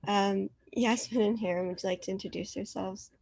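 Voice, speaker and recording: A woman curator introduces the artists in a flat, even tone with a lot of vocal fry. She talks politely and fills her pauses with ums, and her voice sounds close and dry, recorded at home in her apartment. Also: mid-range voice, no room sound. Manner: polite, filler-heavy presenting. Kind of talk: hosting a virtual artist talk.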